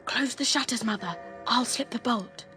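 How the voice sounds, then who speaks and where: Woman as an anxious, high-voiced youngster in an adventure. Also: moderate pace, over music, lightly acted. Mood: urgent. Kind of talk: radio drama acting.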